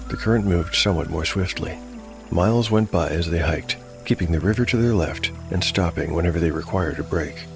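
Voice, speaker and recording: A man's voice: low-pitched, creaky. A man narrating a solo tabletop fantasy game as game master, in a dramatic storytelling style. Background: prominent music.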